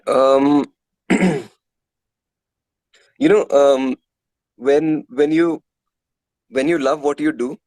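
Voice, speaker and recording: A man's voice: on the high side, steady tone, extremely slow, long pauses, darkish tone, dry recording, poor audio. A man with an Indian accent answering interview questions as a musician, candid and conversational, often repeating words as he thinks. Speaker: musician guest, guitarist and composer in a metal band.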